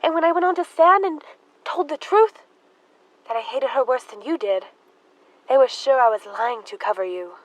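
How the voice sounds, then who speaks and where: A young woman playing a devout, unhinged murderous sister in an audio drama, with halting, fervent delivery. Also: sits high, lively intonation, no room sound, poor audio. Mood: bitter, mournful. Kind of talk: high school audio drama acting.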